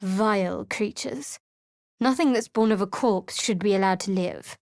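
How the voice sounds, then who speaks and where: A woman's voice: mid-range voice, measured pace, vocal fry, a little mellow, no room sound. An actress voicing a guarded young female cleric in a video game, speaking in a cool, wry, secretive way in a dry studio recording. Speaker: game character. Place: studio booth. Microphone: studio mic.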